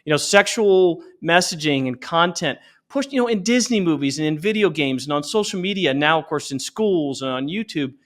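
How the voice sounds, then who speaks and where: A man in his forties speaking as an interview guest, earnestly explaining and pitching in a conversational way, often restarting his sentences. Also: lively intonation, moderate pace, few pauses, a bit bright. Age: 40s. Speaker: interview guest, company founder.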